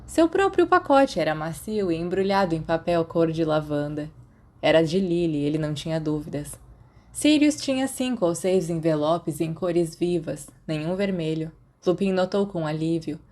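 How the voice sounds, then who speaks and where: A woman's voice: low-pitched, lively intonation, moderate pace, warm tone. A woman with a Brazilian accent reading a story aloud as scripted narration. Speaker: audiobook narrator of fan fiction.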